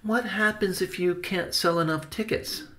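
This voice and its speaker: A man's voice: high-pitched, normal speed, a bit bright, voice pushed up. A man voicing an eager, curious young boy who asks questions in a storybook reading.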